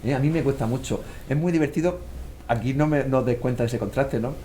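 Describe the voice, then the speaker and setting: A man's voice: mid-range voice, quick pace, a little hiss or hum. A man with a Murcian Spanish accent, an author guest telling stories in casual conversation and acting out the voices he quotes. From Murcia, Spain. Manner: animated storyteller, acts out quoted voices.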